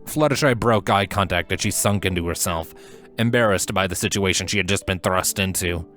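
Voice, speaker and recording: A man's voice: low-pitched, brisk, sharp tone, soft background music. A man reading a scripted story aloud as the narrator. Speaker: fan fiction narrator.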